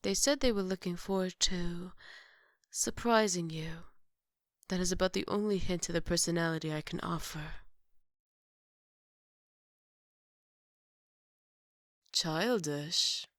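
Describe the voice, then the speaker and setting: A woman playing a strict, dryly sarcastic chief maid in an ASMR roleplay, speaking in a formal, measured, scolding way. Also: lowish voice, no echo, clean studio sound. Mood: composed, businesslike.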